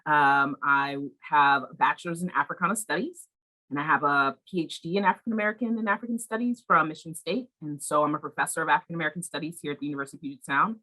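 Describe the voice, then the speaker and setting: A woman's voice: sits low, quick pace, bright, forceful tone, rough recording. A woman professor from Virginia introducing herself, chatty and enthusiastic with many fillers.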